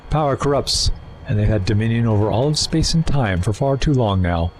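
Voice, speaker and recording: An actor in an audio drama playing a suave, erudite renegade Time Lord villain, wordy, condescending and persuasive. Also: male voice, on the low side, lively intonation, brisk, a little mellow, slight background noise, lightly acted. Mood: persuasive, scornful. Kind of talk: full-cast audio drama acting.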